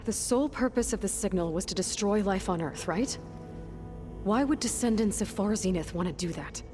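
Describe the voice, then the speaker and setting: A woman playing a determined, wary young hunter in a video game, asking earnest questions and haltingly thinking aloud. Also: mid-range voice, animated, over music, close to an everyday voice. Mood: urgent, reasoning. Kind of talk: naturalistic video game acting.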